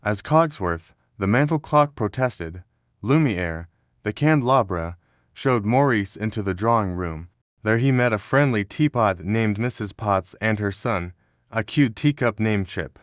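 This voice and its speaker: A man's voice: fairly low pitch, lively intonation, a little slow, no echo, clean studio sound. A man reading scripted narration as the narrator of a children's storybook.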